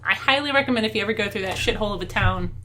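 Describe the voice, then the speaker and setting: A woman's voice: mid-range voice, rapid-fire, a bit bright. US woman, podcast co-host, chatty and self-deprecating, faint noise. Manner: rambling, laughs often, self-deprecating. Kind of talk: casual chat.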